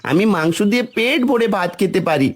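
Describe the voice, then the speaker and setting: A man's voice: sits high, lively intonation, brisk. A man playing a greedy, demanding little village boy in a cartoon folktale, in a childish manner. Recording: faint music behind the voice.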